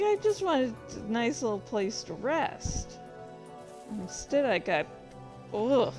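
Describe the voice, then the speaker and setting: A woman's voice: mid-range voice, rough recording. A woman telling gaming stories casually as a host, in a rambling, informal, sweary way. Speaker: host of a reading and gaming channel. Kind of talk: casual storytelling about gaming.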